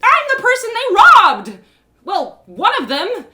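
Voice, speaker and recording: A woman voicing an earnest, awkward and excitable young woman adventurer in an audio story. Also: very high voice, takes it slowly, voice pushed up, full character voice. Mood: outraged. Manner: hesitant, rambling, emotional.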